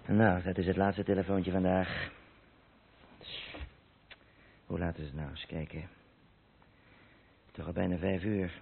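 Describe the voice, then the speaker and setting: A man's voice: deep voice, little pitch movement, moderate pace, gravelly. An actor with a Netherlands Dutch accent playing a haulage company boss who thinks aloud alone in his office, in a radio play. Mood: weary, grumbling. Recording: muffled, noisy old radio play recording.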